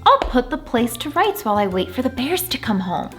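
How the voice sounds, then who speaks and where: A woman voicing a curious, helpful young girl from a storybook, with expressive delivery. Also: on the high side, fairly quick, soft background music. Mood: pleased.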